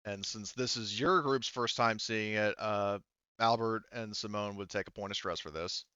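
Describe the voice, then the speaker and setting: A man narrating a casual tabletop roleplaying game as game master, halting and thinking aloud as he works through rules. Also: lowish voice, pushed and bright, clean tone, no echo, highs cut off.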